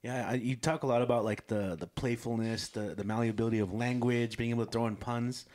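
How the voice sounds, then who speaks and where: Male co-host, mid voice, relaxed and easygoing, casual interviewer. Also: medium pitch. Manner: laid-back, gives quick affirmations. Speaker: podcast co-host.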